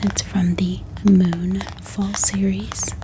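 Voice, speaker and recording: An American woman speaking in a soft, gentle ASMR style as she presents books in a calming, unhurried manner. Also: mid-range voice, background noise. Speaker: ASMR book reviewer and host. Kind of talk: soft-spoken whispered ASMR haul.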